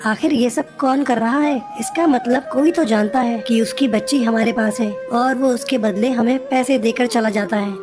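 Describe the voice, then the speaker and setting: A man's voice: very high voice, moderate pace, few pauses. A man voicing a kindly, hardworking husband pigeon in a cartoon moral story, speaking earnestly. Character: devoted husband pigeon, kindly working father. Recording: music behind the voice.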